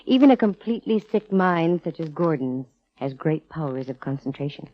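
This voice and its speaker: An American actress playing a sharp female private eye in 1940s radio drama, explaining things with dramatic, measured pauses. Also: low voice, expressive, no echo. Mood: solemn, explanatory. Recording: dull vintage radio recording with music.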